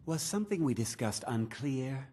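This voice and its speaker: An actor playing a nervous, obsequious meadery owner in a fantasy video game. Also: male voice, medium pitch, animated, normal speed, mellow, slight background noise, close to an everyday voice. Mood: impatient.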